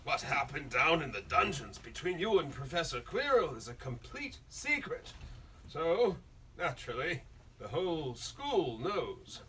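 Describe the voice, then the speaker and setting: Casting: twinkling old headmaster wizard male actor, high-pitched, very lively intonation, genteel, heard from a distant mic. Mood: amused. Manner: genteel, amused, deliberate. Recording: distant mic, faint room noise.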